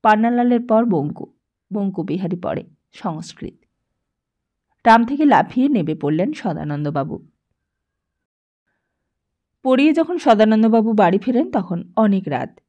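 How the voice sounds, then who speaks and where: A woman audiobook narrator reads scripted fiction expressively at a middling pitch. The recording is dull and lo-fi. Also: big pitch swings, normal speed, pauses often, mellow. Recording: dull lo-fi sound.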